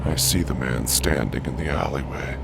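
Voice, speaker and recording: A man playing a deranged, paranoid, murderous narrator in a horror audio drama, speaking in a menacing, deliberate, breathy half-whisper. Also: very deep voice, very noisy, full character voice. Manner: slow, menacing, deliberate confessional delivery.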